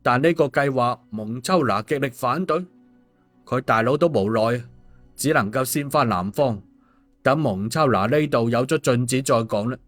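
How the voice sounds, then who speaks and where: A man's voice: mid-range voice, moderate pace. A man narrating an audiobook in a colloquial storytelling style. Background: background music.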